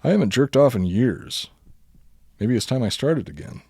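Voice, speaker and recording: A man reading a comic bedtime story aloud as a podcast host, mostly deadpan but prone to giggling. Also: mid-range voice, moderate pace, vocal fry, slightly rough, no echo, studio quality.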